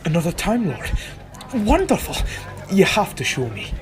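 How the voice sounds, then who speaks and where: A man playing a nervous, excitable market trader in an audio drama, with a jittery, emotional delivery. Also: high-pitched, moderate pace. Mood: eager hope. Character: nervous male market trader, exiled time traveller, excitable. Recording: music behind.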